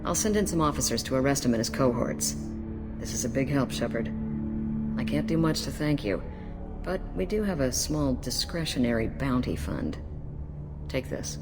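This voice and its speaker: A woman voicing a wry alien police detective in a video game, brisk, dry and businesslike. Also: extremely low pitch, lively intonation, over music.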